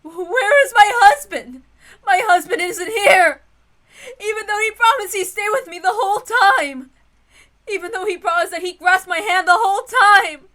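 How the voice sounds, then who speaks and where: Shrill, hysterical panicked wife. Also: female voice, sharp tone. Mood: tearful despair. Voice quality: shrill, wailing. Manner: frantic, sobbing, drawn-out pleading. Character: panicked, hysterical feverish wife crying out for her husband.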